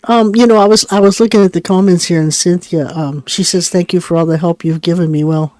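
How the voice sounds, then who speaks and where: A chatty woman co-host who keeps cutting in with quick asides, quiet mm-hmms and short laughs. She talks in a loose, conversational way on a live-stream studio mic. The sound is dry and close, with no room echo. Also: fairly low pitch, steady tone. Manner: chatty, jumps in, frequent mm-hmms and light laughs. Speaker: co-host of a psychic call-in radio show. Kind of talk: casual chat.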